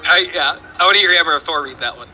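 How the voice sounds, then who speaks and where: A Southern man hosting a relaxed trivia show, his voice sitting fairly high and ringing very bright. He rambles playfully, stumbling over fillers and restarting his sentences. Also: fast talker, faint music behind, rough recording. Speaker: trivia host. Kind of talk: casual online trivia show.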